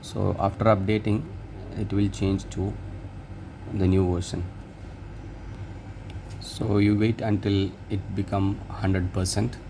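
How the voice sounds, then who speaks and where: A man talking through a software update step by step, hesitant and halting, often restarting words. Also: deep voice, level tone, creaky. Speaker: tech tutorial presenter. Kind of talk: screen-recorded how-to walkthrough. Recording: noisy room, hum and traffic behind.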